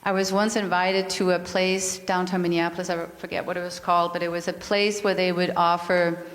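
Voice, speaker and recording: Earnest Danish woman with a low voice. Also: brisk. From Denmark. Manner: calm, earnest, repeats words as she thinks. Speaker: yoga and mindfulness teacher. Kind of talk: reflective spiritual talk. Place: spiritual community meeting hall. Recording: reverberant hall.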